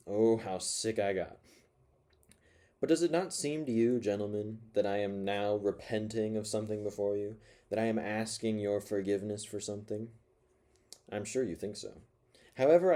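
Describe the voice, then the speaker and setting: Guy reading as a bitter forty-yr-old ranting in his corner, low mellow voice, mutters then snaps loud. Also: natural voice. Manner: sardonic, swinging between mutters and loud outbursts. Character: spiteful, self-mocking forty-year-old man ranting from his corner. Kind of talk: first-person audiobook reading.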